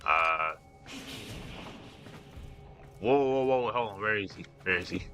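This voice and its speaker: A young man streaming a game, commenting live in an excitable, profane rant full of repeats and restarts. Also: fairly low pitch, takes it slowly, lo-fi sound, faint music behind. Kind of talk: ranting live gameplay commentary.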